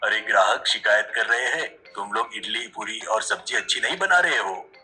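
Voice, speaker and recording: A man's voice: lowish voice, moderate pace, bright, forceful tone, soft background music, low-quality recording. A man voicing an ambitious, earnest village husband who runs an eatery, in a cartoon story. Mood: stern.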